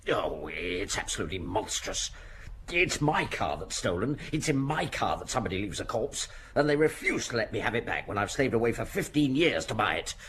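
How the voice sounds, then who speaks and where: An actor playing an anxious, put-upon householder in an audio drama, flustered and protesting. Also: male voice, lowish voice, sing-song, a little fast, a bit bright, slight background noise. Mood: indignant.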